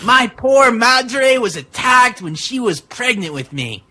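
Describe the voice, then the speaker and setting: A tragic Mexican wrestler as a podcast reader puts him on pours out his past in a hammy dramatic reading. The delivery is slow and very animated, with a bright, forceful edge. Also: mid-range voice, heavily acted. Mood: tragic storytelling. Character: Rey, emotional Mexican wrestler with a secret monstrous past.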